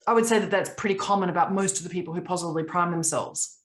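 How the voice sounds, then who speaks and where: Earnest lower-voiced woman coach. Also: very fast. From Papua New Guinea. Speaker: coach hosting a group Q&A. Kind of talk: coaching talk on a video call. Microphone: video call.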